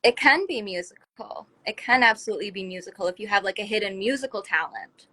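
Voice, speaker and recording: A woman's voice: mid-range voice, animated, fast, sharp tone. A woman hosting a casual livestream interview, chatting with her guest in an effusive, encouraging way. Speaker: talk show host interviewing a guest. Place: livestream video call from a phone.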